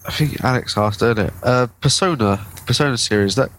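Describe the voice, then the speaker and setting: A man's voice: medium pitch, quick pace, fairly bright tone. A man co-hosting a casual chat, speaking in a tentative, hesitant manner. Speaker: podcast co-host.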